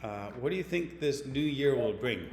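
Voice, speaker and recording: A man's voice: medium pitch, animated, normal speed, a little mellow, echoey room, in a large hall, a little hiss or hum. A man hosting an interview, asking questions in a polite, formal way. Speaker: interview host.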